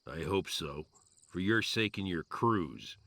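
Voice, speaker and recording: Low-voiced weary army commander. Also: male voice, big pitch swings, takes it slowly, vocal fry, sharp tone, no room sound, heavily acted. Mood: weary, wry. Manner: wry, commanding. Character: gruff, weary army commander briefing his men. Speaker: military commander. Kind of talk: acted video game cutscene dialogue.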